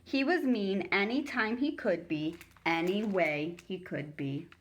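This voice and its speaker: A woman's voice: mid-range voice, a little slow, a little hiss or hum. A woman reading a children's story aloud as a teacher would, narrating expressively for young listeners.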